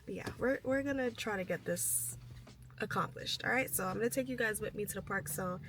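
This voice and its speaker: A young woman with a raspy voice, chatting casually to her camera while vlogging, thinking aloud and poking fun at herself. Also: mid-range voice, brisk, a bit bright. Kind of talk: casual vlog talk to camera. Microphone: handheld pocket camera mic. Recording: noisy handheld camera audio.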